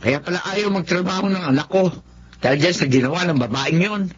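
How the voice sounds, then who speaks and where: A man's voice: high-pitched, a little fast, breathy edge. A man playing an indignant Filipino parent in a radio drama, on a muffled recording. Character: indignant parent of a troubled son.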